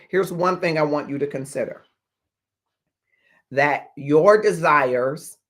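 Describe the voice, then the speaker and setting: A man in his fifties hosting a motivational livestream as a life coach, speaking in deliberate, emphatic phrases with pauses. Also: a little high, a bit bright. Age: 50s. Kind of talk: motivational livestream talk to viewers.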